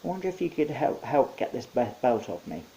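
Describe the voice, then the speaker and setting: A man's voice: mid-range voice, very animated, brisk, mellow, voice pushed up, poor audio. A man voicing a hapless, anxious young man held captive in a storybook reading. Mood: sheepish. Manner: anxious, questioning.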